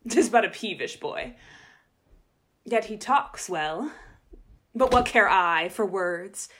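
A woman's voice: medium pitch, lively intonation, slow pace, fairly bright tone. A woman playing a proud young shepherdess in a Shakespeare radio play, dramatic, wavering between scorn and admiration. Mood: smitten, dismissive.

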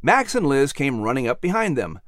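A man with a medium-pitched voice narrates a children's story as its announcer. His delivery is theatrical and expressive, with lively rises and falls. The recording is close and very dry. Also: brisk, dry recording.

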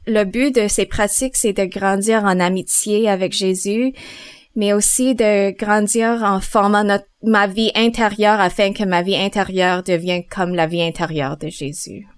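A woman's voice: medium pitch, steady tone, unhurried, clear, resonant tone, dry recording, clean studio sound. A woman, a mother at home, answering interview questions on faith thoughtfully and hesitantly. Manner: hesitant, searching for words, soft laughs.

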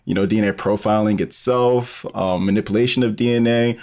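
A man's voice: on the low side, fairly even intonation, a little fast, a little mellow. A man speaking as an interview guest, explaining things informally and step by step with many hesitant fillers. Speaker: podcast guest, bioinformatics professional and storyteller. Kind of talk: casual explanatory interview talk.

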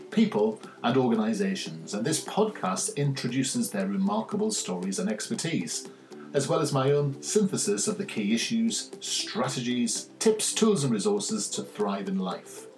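A man hosting a podcast, opening the show and interviewing his guest in a measured way with frequent "um"s. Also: mid-range voice, animated, a little mellow. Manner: measured, uses "um" between phrases. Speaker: podcast host. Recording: echoey room with faint music.

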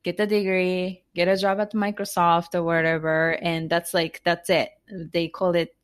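Chatty Japanese-accented woman, low voice. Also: little pitch movement, moderate pace, smooth. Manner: chatty, with many fillers, restarts and laughs. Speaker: guest author and entrepreneur. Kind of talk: casual interview conversation.

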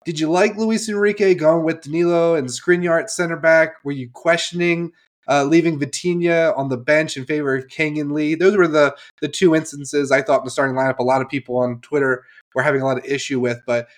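A man's voice: a little high, fairly even intonation, fairly quick. Nasal man hosting a sports podcast. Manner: upbeat, chatty, laughs easily. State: nasal, recovering from a cold. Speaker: podcast host. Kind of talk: casual sports podcast chat.

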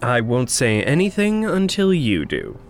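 An actor playing an earnest, awkward young man in an audio drama, speaking politely and haltingly. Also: medium pitch, wildly swooping pitch, gravelly, heavily acted. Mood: teasing. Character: earnest, awkward, anxious young male magic user. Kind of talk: naturalistic audio drama acting.

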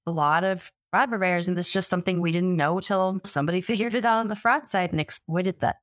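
A woman hosting a podcast interview with a fairly low voice, telling stories with animation. She slips into quoted voices of other people as she talks. The recording is dry and close, with no room sound. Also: fast, no echo. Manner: animated storyteller, acts out quotes. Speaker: podcast host.

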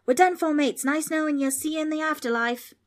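A woman's voice: high voice, fairly quick, voice pushed up, lightly acted. A woman storyteller voicing a plucky, dramatic child in a children's tale. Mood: doomed. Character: plucky Australian child, loud slangy and dramatic.

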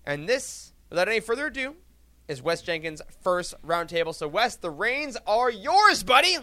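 A male podcast host delivers a scripted show introduction. His voice is high for a man and has a bright, forceful edge, recorded very dry with no room sound. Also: big pitch swings, normal speed, dry recording.

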